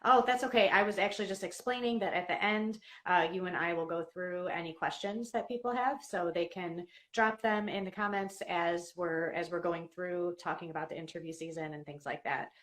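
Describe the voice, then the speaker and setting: A woman with a slightly low voice hosts a live online session. She speaks conversationally, as an interviewer would when addressing viewers and a guest. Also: moderate pace, hardly any pauses, a bit bright.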